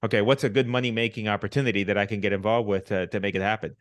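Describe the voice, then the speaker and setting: A man's voice: fairly low pitch, fairly even intonation, rapid-fire. Reflective middle-aged man from Puerto Rico. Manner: reflective, many restarts and "you know" fillers. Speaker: podcast guest. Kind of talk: casual conversational storytelling.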